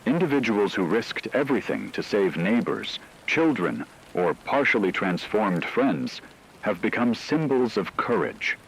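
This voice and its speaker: Grave radio newsreader for an apocalypse drama, a man acting low and measured, faint noise behind. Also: expressive, rough recording. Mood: solemn. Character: grave male radio announcer reporting on an apocalyptic aftermath. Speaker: radio announcer. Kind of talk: scripted fictional emergency radio broadcast narration.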